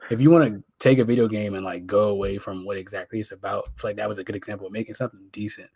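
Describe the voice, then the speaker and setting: Give the voice of an American man with a fairly low pitch, chatting as a podcast co-host. His delivery is informal, slangy and opinionated, and the sound is dry and close. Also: fairly even intonation, rapid-fire, creaky, mellow, husky, no room sound. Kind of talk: casual pop culture podcast chat.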